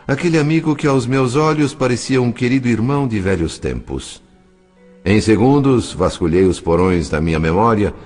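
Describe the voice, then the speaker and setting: A man narrating a novel in a measured, expressive, literary way, as for an audiobook. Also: low voice, husky. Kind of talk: scripted dramatic narration of a spiritist novel.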